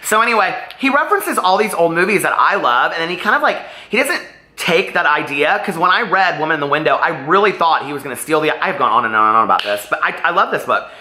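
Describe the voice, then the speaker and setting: A man's voice: on the high side, sing-song, quick pace, bright, forceful tone. A man talking casually to viewers as a reviewer, in a gushing, rambling and emphatic way. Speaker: book reviewer vlogger. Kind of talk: casual chatty book review to camera. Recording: roomy, echoey room sound.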